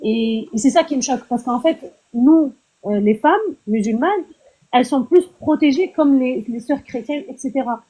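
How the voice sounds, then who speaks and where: A woman with a husky, slightly high voice argues her side over an online live-stream call. She keeps stumbling and restarting her words, yet stays earnest and insistent. The talk is loose and conversational. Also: moderate pace, dark tone, rough recording. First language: Arabic. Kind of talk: heated casual debate.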